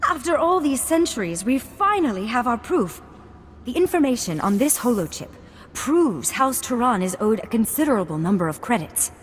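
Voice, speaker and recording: A woman's voice: fairly high pitch, very animated, normal speed, a little hiss or hum. A woman playing a proud young noblewoman in a video game, speaking formally and earnestly, with a haughty, scolding edge. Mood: triumphant. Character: proud, prim young noblewoman, earnest heiress of a fallen house.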